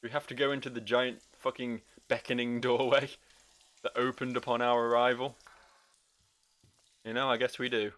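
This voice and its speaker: A man's voice: mid-range voice, little pitch movement, normal speed, long pauses, fairly bright tone. A man giving joking, sweary, rambling commentary as he plays through a game. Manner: rambling, dryly silly. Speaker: gaming video host.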